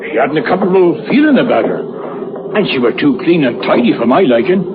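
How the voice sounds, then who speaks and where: An actor playing a rough, plain-spoken old whaler in a radio horror drama, with a working-class English accent and a blunt, uneasy manner. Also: male voice, on the high side, sing-song, fast talker. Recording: dull, noisy old radio recording.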